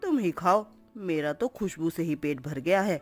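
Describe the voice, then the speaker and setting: A woman's voice: lowish voice, expressive, moderate pace, darkish tone, faint music behind, voice pushed down, close to an everyday voice. A woman voicing a grumpy, middle-aged mother-in-law in a cartoon story, scolding and exasperated.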